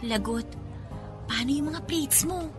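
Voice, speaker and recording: A woman's voice: high-pitched, unhurried, music in the background. A woman playing a teasing, chatty young Filipino best friend in a radio drama, full of exclamations. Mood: teasing, exclaiming. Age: young adult. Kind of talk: Tagalog radio drama acting.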